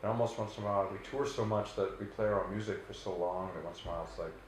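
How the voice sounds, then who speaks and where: Brief: deep-voiced male rock singer, loose rambling answers, roomy echo. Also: very fast, slight background noise. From Canada. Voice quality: very low bass voice. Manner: relaxed, rambling, many restarts and fillers. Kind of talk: casual interview answers.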